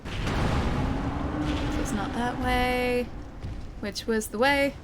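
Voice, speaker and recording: Australian woman streamer, higher-pitched voice, casual and giggly, noisy stream audio. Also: very slow. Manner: chatty, giggly, self-mocking. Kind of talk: casual gameplay commentary.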